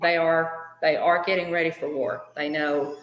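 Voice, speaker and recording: Low-voiced woman chatting in an echoey room. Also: steady tone, normal speed, slight background noise. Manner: chatty, greets viewers by name, many fillers. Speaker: co-host of a live fan show. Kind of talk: casual live-stream chat.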